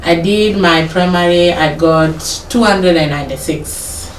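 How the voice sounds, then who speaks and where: Low-voiced Kenyan woman telling her story. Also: unhurried. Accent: Kenyan English. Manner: rambling, self-correcting, laughs while telling. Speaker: interview guest. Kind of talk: casual life-story interview. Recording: echoey, distant mic with background noise.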